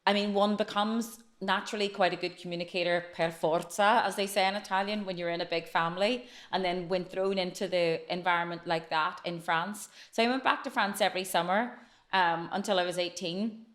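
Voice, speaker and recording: Woman, late 40s, chatty storyteller, slightly low voice, interview guest. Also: steady tone, a bit bright. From Northern Ireland. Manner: chatty, fast-flowing storytelling. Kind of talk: casual podcast interview.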